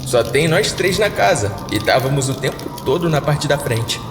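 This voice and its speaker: A man's voice: fairly high pitch, very animated, moderate pace, reverberant, big-room sound, over music, voice pushed up. A man voicing a baffled, matter-of-fact workmate in a horror story, with a Brazilian accent. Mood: baffled, insistent. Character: baffled male workmate insisting on innocence.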